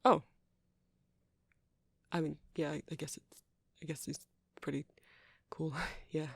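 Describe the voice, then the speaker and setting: A soft-spoken man doing a rambling ASMR roleplay as a shopkeeper, hesitant and apologetic in manner. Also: on the high side, flat delivery, slow, gravelly, husky, dry recording. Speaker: character performer playing a shopkeeper.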